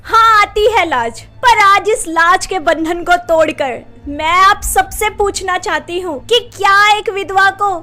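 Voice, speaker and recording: A very high, bright young female story character, acted by a woman, speaks in an emotional melodramatic style. The voice is piercing and youthful, with faint music underneath. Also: a little slow, full character voice. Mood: pleading, defiant. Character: young widowed woman, pleading and defiant, and her chatty friend. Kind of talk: melodramatic Hindi story dialogue.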